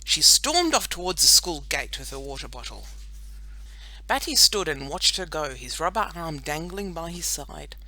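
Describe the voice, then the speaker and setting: A woman narrating a children's audiobook in an expressive, scripted storytelling style. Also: sits low, normal speed, rough recording.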